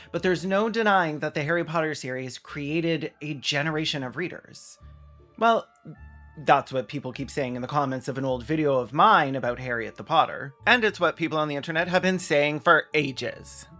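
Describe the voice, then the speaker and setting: A man with a slightly high voice presents a video essay over light music. His delivery is sardonic and conversational, tipping into mock drama as he comments. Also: lively intonation, moderate pace, pushed and bright.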